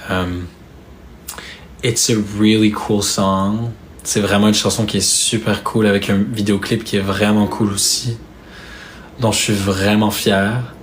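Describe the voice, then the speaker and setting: A young man from Quebec with a Québécois French accent, chatting casually on a live stream with fans. He speaks softly and hesitantly, with many fillers and restarts. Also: lowish voice, level tone, a little slow, slight background noise. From Quebec, Canada. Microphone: phone or webcam on a live stream.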